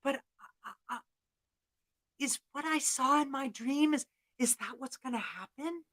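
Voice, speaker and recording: A woman voicing a naive, earnest farm youngster in a storybook tale, halting and sheepish. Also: high-pitched, fairly bright tone, pitched above the performer's own voice. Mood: sorry, hesitant.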